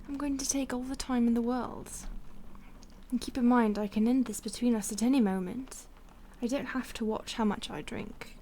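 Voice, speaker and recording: A woman voicing a possessive female vampire stalker in an audio roleplay, whispering breathily with a slow, taunting, condescending manner. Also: on the high side, warm tone, slight background noise. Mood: menacing.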